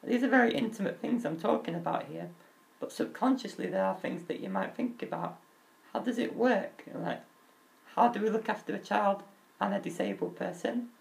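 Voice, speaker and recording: A young man with a crackly, high-pitched voice, talking openly and earnestly about himself, with halting restarts. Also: lively intonation, gravelly. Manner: open and earnest, halting restarts, nervous laughs. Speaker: vlogger. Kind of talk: candid personal talk.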